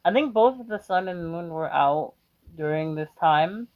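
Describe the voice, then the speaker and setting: A man's voice: fairly high pitch, normal speed. A man casually rambling through his reaction with lots of hesitation and fillers. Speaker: reaction video host. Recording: dull lo-fi mic.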